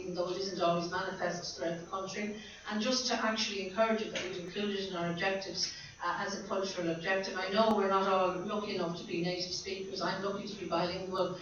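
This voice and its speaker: A woman's voice: fairly low pitch, a little hiss or hum. Irish woman speaking from the floor. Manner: halting, with many restarts. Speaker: association member proposing a motion. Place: hotel function room. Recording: echoey hall, distant mic, dull lo-fi sound.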